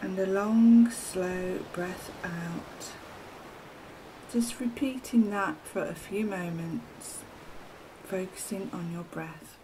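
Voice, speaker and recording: A woman narrating a guided meditation as a teacher, soft and soothing, with gentle, deliberate phrasing and long pauses. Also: medium pitch, slight background noise, poor audio. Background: ocean waves sound loop.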